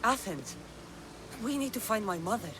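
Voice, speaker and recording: A woman's voice: medium pitch, animated, moderate pace, over music, lightly acted. An actress playing a fierce, stubborn young Greek mercenary woman in a video game cutscene, speaking tersely and intensely. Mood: driven, tense.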